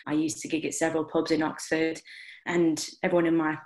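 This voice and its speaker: A woman's voice: sits low, little pitch movement, a little fast, a little mellow. A young woman with a Lancashire accent, answering interview questions in a thoughtful way with frequent restarts. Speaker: guest theatre maker and composer. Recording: roomy echo.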